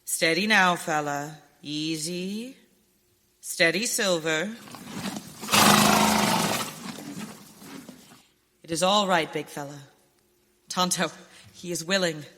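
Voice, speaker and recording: A woman's voice: fairly low pitch, a little slow, lightly acted. A woman playing a brave, determined masked western hero in radio theater, speaking in an earnest, commanding way. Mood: coaxing, determined. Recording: hall reverb, background noise, clicks.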